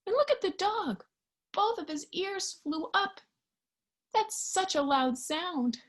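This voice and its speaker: A woman reading a picture book aloud to young children in an expressive, child-directed storytime style. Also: extremely high pitch, slow pace. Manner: expressive, child-directed, with questions and sound effects.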